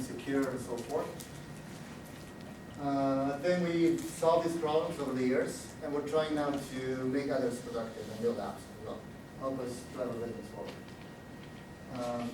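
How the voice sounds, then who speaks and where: Man with a Romanian accent, conference speaker, halting delivery, echoey room, distant mic, audible background noise. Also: mid-range voice. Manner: halting, many "uh" fillers, restarts. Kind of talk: technical conference talk.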